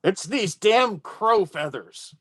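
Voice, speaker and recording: A man's voice: high-pitched, expressive, slow, fairly bright tone, slightly rough, no echo, clean studio sound. A man playing a tabletop role-playing game, talking casually as he works out his moves.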